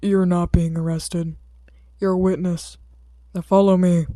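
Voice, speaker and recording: A woman voicing a gruff, tired adult male hero in an audiobook, grumbling in a curt deadpan. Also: on the low side, a little slow, no room sound, clean studio sound, natural voice. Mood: curt, weary. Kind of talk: audiobook character acting.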